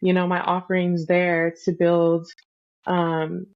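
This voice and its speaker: A young woman from California, a podcast guest earnestly telling her spiritual story with many soft ums and pauses. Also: lowish voice, monotone, muffled recording. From Fresno, California. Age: young adult. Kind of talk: reflective conversational storytelling.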